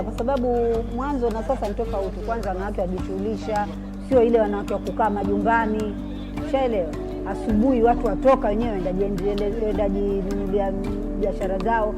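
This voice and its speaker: Business owner lady talking straight in a doc interview, kinda high voice, music playing under. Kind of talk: documentary interview.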